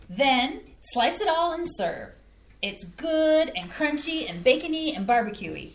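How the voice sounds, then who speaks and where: A woman's voice: sits high, sing-song, slow pace, slight background noise, exaggerated voice. Folksy, eager-to-please mom who loves her own cooking, read by a woman; bouncy delivery, echoey stage. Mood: chatty. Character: cheerful homey mother, proud eager home cook. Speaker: stage reading actor. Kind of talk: community theater staged reading. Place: small community playhouse stage.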